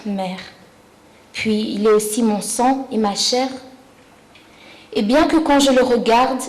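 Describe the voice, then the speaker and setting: Higher-pitched woman reciting a solemn poem. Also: a little mellow, rough recording. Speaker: poetry reciter at a commemoration ceremony. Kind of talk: solemn spoken-word poetry recitation. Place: ceremony in a city hall.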